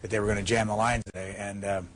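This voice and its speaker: Casting: male call-in host, lower register, husky, measured live delivery. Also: little pitch movement. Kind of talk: live broadcast hosting, measured talk.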